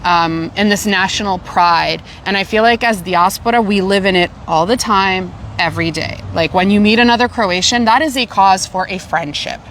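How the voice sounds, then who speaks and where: Canadian woman in lively casual chat. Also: medium pitch, normal speed, few pauses, a little hiss or hum. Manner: animated, repeats herself when searching for words. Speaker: podcast host.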